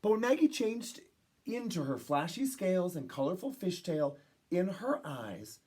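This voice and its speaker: Expressive woman reading a children's story, slightly husky. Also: lowish voice. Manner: dramatic pauses and emphasis for young listeners. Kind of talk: expressive picture-book read-aloud.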